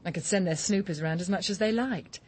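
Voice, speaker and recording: An actress playing a blunt, impatient young mother living rough in a radio drama. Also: fairly low pitch, fast, a little hiss or hum, lightly acted. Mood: challenging. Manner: blunt, swearing, teasing.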